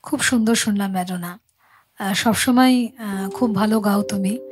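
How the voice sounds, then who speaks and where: A woman's voice: medium pitch, moderate pace, soft background music. A woman host with a Bengali accent, speaking casually to a guest in a chat interview.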